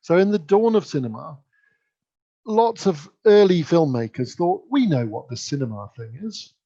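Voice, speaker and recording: A man's voice: fairly high pitch, big pitch swings, moderate pace, dark tone. A man giving an instructive, emphatic conference keynote presentation. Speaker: keynote speaker. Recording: dull lo-fi sound.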